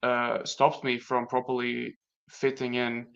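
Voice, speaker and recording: A young man from Latvia whose first language is Latvian, talking through personal experiences in a casual conversation, reflective and halting with many restarts. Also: mid-range voice, monotone, vocal fry, a bit bright, smooth. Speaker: guest. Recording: dull lo-fi sound.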